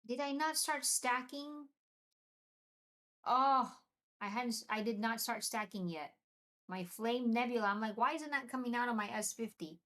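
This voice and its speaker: A young woman from Venezuela hosting a live stream, chatting excitedly and answering viewers' comments. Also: fairly high pitch, moderate pace. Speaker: livestream host.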